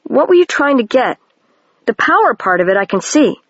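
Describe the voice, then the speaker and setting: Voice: medium pitch, very animated, normal speed, husky, no room sound, voice pushed up. A reader voicing a shy, earnest young boy who is a wizard, in a mildly raised version of their plain reading voice, on a dry, dull lo-fi home recording.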